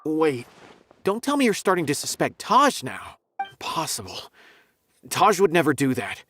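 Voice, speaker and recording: An actor playing an earnest young officer in a video game, with an expressive way of talking. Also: male voice, fairly high pitch, unhurried, noisy background. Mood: defensive.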